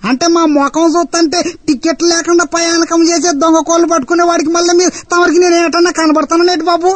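A woman voicing a touchy, talkative rustic man with a North Coastal Andhra accent, protesting loudly at being suspected. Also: extremely high pitch, flat delivery, quick pace, dry recording. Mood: indignant. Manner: offended, protesting, long drawn exclamations.

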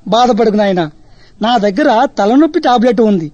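Guy doing a forgetful old man, mild and high, dry close voice. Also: animated, a little mellow, no echo, highs cut off. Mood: muddled. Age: older. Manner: slow, mild, bewildered.

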